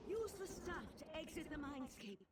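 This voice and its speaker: A woman's voice: high voice, expressive, moderate pace. A woman voicing an urgent ally who guides the hero in a fantasy video game.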